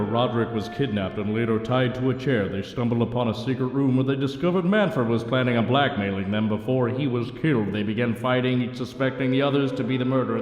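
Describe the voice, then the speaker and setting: An actor playing a jokey, theatrical narrator giving a mock-dramatic recap of a suspense serial. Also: male voice, medium pitch, reverberant, big-room sound, close to an everyday voice.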